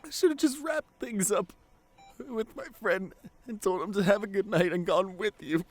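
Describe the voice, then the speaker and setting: A man acting a devoted, guilt-ridden boyfriend at a bedside in an intimate ASMR roleplay, speaking tenderly and haltingly with a lot of emotion. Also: high-pitched. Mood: regretful, distressed. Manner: tender, halting, emotional delivery with repeated phrases.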